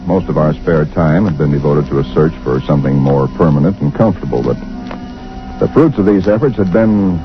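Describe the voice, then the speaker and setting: Guy playing an earnest everyman husband, low voice, old radio sound w music. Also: natural voice. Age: 30s. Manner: earnest, measured storytelling. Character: ordinary 35-year-old male chemical engineer narrating. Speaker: narrator and lead in radio drama. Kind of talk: 1940s radio acting. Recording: dull old radio recording with music score.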